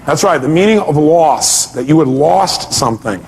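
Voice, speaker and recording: Man, fairly high voice, motivational speaker, emphatic delivery, rhetorical questions, seminar style. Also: animated, moderate pace, gravelly, darkish tone, low-quality recording. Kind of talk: motivational seminar talk to an audience.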